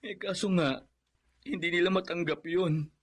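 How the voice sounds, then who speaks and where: High-voiced plaintive young Filipino man. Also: fairly even intonation, moderate pace. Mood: self-pitying. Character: young man, wounded, humble, scorned aspiring comedian. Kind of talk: Filipino radio drama acting.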